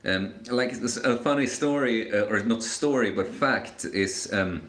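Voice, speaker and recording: A young man in his late twenties chats loosely through a live Q&A, stumbling over restarts and filling pauses with "um" and "like". His voice sits a little low for a man and rings around a roomy, echoey space. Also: fairly bright tone. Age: 20s. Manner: rambling, hesitant, many fillers and restarts. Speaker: live stream host. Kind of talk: casual live Q&A chat. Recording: echoey room.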